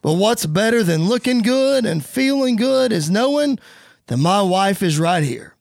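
A man's voice: sits high, expressive, a little slow, gravelly, dry recording, clean studio sound, full character voice. A man playing a smug, showy wrestling villain giving a pompous, theatrical speech to the crowd in a wrestling story. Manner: pompous, theatrical promo delivery.

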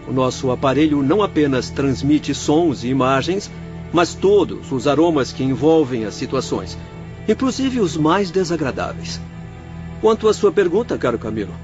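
A man's voice: mid-range voice, highs cut off, close to an everyday voice. A man playing a composed, learned doctor in a radio drama, explaining things formally and courteously.

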